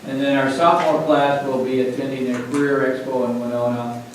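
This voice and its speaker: A man giving a school report at a board meeting, measured, with frequent "uh"s. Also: medium pitch, little pitch movement, a little hiss or hum. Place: large meeting room. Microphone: distant room mic. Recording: echoey room from far off.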